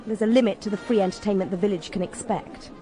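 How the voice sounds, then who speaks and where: An actress playing a cool, self-possessed young English gentlewoman in a radio drama, dry and unruffled. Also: medium pitch, fairly even intonation, fast talker, soft background music, some chatter behind, lightly acted. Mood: cool irony. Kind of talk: British radio drama acting.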